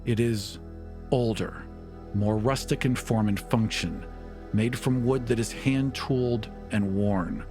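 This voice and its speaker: A man narrating a tabletop game as game master, in a deliberate, dramatic, descriptive style. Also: medium pitch. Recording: music behind the voice.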